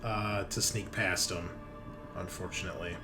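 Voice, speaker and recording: Low-voiced male game master. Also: fairly even intonation, moderate pace, music in the background. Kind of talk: narrating a tabletop roleplaying game, improvised. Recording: faint background noise.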